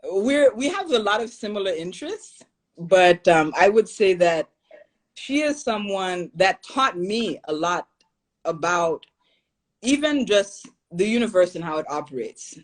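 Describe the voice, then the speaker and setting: A woman's voice: medium pitch, sing-song, unhurried, poor audio. A young adult woman from Haiti, a podcast guest, talking in an earnest, reflective way and laughing easily. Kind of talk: casual interview talk.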